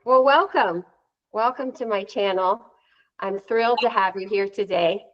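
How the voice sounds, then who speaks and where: A woman's voice: mid-range voice, a little slow, lo-fi sound. A woman hosting an interview, introducing her guest in a conversational way. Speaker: interview host.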